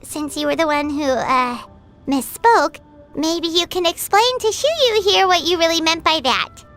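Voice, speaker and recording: A tiny game fairy with an extremely high, bright voice. She is chirpy and excitable like a child, with big swoops in pitch. Soft music plays behind her. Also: a little slow, exaggerated voice. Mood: flustered. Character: tiny cheerful high-voiced floating fairy companion girl. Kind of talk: video game voice acting.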